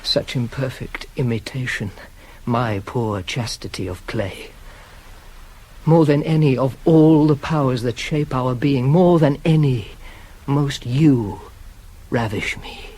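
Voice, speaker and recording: An actor playing a devout, chaste young hunter in a classical verse radio drama, declaiming reverently and incantatorily with long pauses, on a hissy old cassette. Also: male voice, medium pitch, warm tone, low-quality recording. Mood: fervent devotion. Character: devout chaste young male hunter, rapt goddess worshipper.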